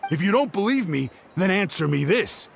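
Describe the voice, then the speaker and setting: A man's voice: high-pitched, normal speed, creaky, a little hiss or hum. An actor playing an earnest male researcher who talks eagerly about his theories. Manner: earnest, gets excited over his theory.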